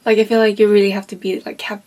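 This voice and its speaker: A woman's voice: medium pitch, little pitch movement, quick pace, darkish tone. A woman in her twenties, a native Swedish speaker with a Swedish accent, rambling casually about a favorite book with lots of fillers. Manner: rambling, heavy on fillers like "like" and "you know". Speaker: book vlogger.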